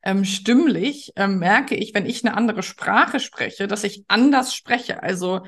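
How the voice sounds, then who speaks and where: A woman's voice: medium pitch, a little slow, a bit bright. A woman hosting a podcast interview in a chatty, conversational way, speaking directly to her guest and listeners.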